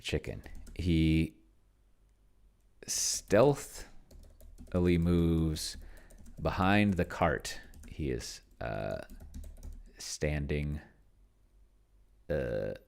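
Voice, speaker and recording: A man hosting a solo tabletop roleplaying session, thinking aloud casually in a halting, musing way and often trailing off mid-thought. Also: a bass voice, gravelly, darkish tone.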